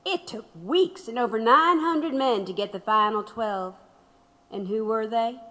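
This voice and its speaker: An actress playing a sardonic storyteller of a rigged trial, delivering deliberate, pointed dramatic narration. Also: female voice, on the high side, a bit bright. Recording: long hall reverb.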